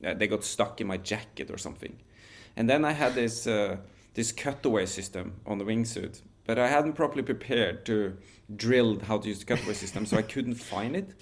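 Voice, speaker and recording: A man, telling a story as a podcast guest in a relaxed, measured way with frequent restarts. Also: lowish voice, a little hiss or hum. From Norway. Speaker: guest, wingsuit pilot.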